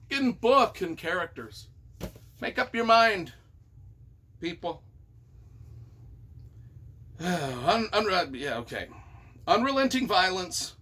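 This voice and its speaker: A man's voice: on the high side, a bit bright. A man reading reviews aloud and reacting off the cuff as their author, with a theatrical, emphatic, mock-exasperated delivery.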